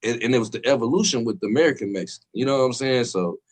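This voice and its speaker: A man's voice: mid-range voice, racing. A man co-hosting a casual boxing chat, rambling in a slangy way and constantly checking in with his listeners. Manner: rambling, slangy, fills with "you know what I'm saying". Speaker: podcast co-host.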